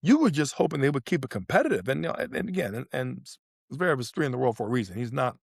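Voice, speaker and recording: A man's voice: mid-range voice, very animated, fast, creaky, husky, no echo, studio quality. A man co-hosting a sports podcast, breaking down play in casual talk and repeating phrases for emphasis. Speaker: podcast co-host analysing tennis.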